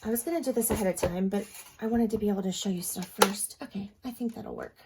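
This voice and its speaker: A woman's voice: medium pitch, fast, very noisy. A woman hosting a crafting tutorial at home, chatting casually with lots of ums and asides, a little sniffly from allergies. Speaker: crafting channel host.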